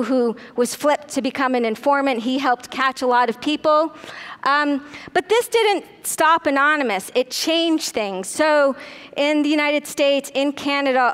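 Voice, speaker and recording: A middle-aged woman giving a conference keynote lecture on a hall stage, telling the story briskly with frequent ums and uhs. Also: sits high, a bit bright. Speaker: keynote speaker.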